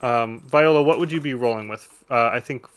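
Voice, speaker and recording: A man's voice: medium pitch, fairly quick, fairly bright tone, no room sound. A man acting as game master, narrating casually and explaining the rules in informal asides. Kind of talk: improvised narration of a tabletop game, casual table talk. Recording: music under the voice. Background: background music.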